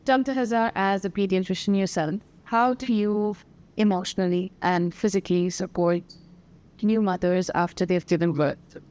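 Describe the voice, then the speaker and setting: A woman hosting a podcast, reading her introduction and questions in a smooth, clear way. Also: mid-range voice, fairly even intonation, normal speed, darkish tone. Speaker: podcast host. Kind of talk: scripted interview questions.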